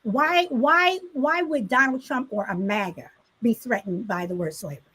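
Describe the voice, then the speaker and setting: A woman's voice: on the high side, normal speed, a bit bright, slight background noise. A woman co-hosting a podcast, asking questions in a casual discussion.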